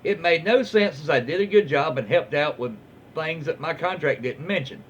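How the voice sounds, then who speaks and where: Southern us guy reading stories out loud, kinda high voice, informal, bit lo-fi w/ some background noise. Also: normal speed. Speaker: host reading online stories aloud. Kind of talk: casual read-aloud storytelling. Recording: muffled, lo-fi with faint background noise.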